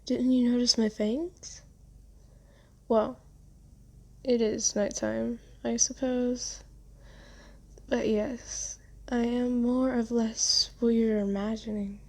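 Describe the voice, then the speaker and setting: Woman acting as a very slow, soothing genteel vampire. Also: on the high side, flat delivery. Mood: patient explaining. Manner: soft-spoken, comforting, unhurried, politely genteel. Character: gentle, soothing, genteel vampire who bought a captive. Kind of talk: ASMR roleplay, scripted character acting.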